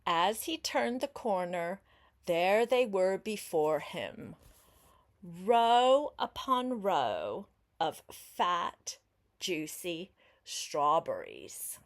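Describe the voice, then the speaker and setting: Older woman, grandmotherly storybook reader, very slow pace, lively pitch swings, chatty asides to a young listener. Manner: unhurried, expressive, explains words and asks questions. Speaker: grandmother reading a picture book aloud to a child.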